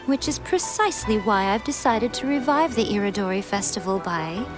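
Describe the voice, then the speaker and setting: An actress playing a sly, elegant fox shrine maiden in a video game, teasing and persuasive in a measured, refined way. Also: female voice, mid-range voice, expressive, lightly acted. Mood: measured storytelling. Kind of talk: scripted video game dialogue. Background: game music.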